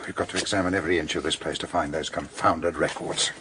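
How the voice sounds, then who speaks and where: A man's voice: low-pitched, steady tone, fast talker, natural voice. An actor playing an impatient, practical man, commanding and well-spoken, in a radio drama. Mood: exasperated. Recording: clearly noisy radio drama track.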